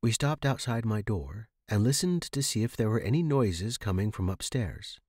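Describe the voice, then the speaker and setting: A man's voice: on the low side, expressive, normal speed, darkish tone, no echo, studio quality. A man reading a scripted first-person horror story as a podcast narrator.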